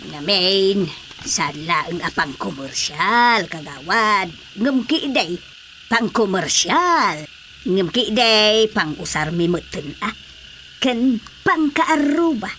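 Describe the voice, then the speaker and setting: A proud, chatty farm vendor with an Ilocano accent. She talks slowly in radio drama comedy style, with faint noise behind. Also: mid-range voice, expressive, pushed and bright.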